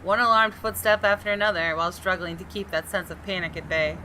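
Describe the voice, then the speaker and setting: A woman's voice: fairly low pitch, fairly even intonation, quick pace, background noise. A woman gaming host reads a visual novel aloud with suspenseful expression and slips into casual commentary. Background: game music.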